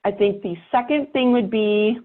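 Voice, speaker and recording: Thin, phone-like audio carries a woman with a medium-pitched voice. She speaks bluntly and emphatically in a casual podcast chat, giving advice with wry humor. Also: moderate pace.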